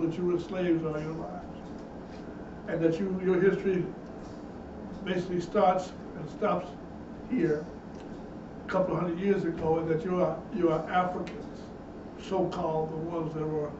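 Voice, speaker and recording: Man preaching hard, kinda high voice, roomy echo, mic far off. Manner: emphatic, repetitive preaching with rising intensity. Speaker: minister. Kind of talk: bible teaching sermon. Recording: echoey, distant, dull room sound with noise.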